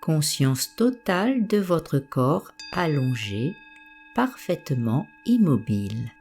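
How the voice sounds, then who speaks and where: A woman guiding a meditation, giving gentle, soothing and unhurried instructions. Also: sits low, very animated, breathy edge. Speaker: meditation guide. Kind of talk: guided meditation narration.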